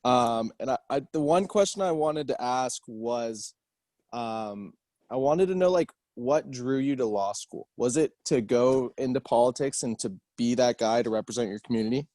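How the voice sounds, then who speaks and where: A man's voice: medium pitch, moderate pace, dark tone, no room sound, studio quality. A young adult man asking a question on a video call, hesitant and full of fillers. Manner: hesitant, with fillers and self-corrections. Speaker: student audience member.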